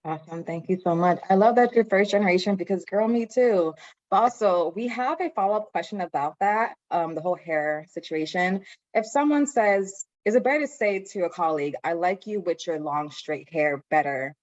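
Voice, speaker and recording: A woman hosts a panel with a quick, teasing manner, reacting openly to each speaker. Her voice sits a little low. The sound is dull and lo-fi, like a video call. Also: darkish tone. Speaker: panel moderator. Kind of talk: lively panel hosting.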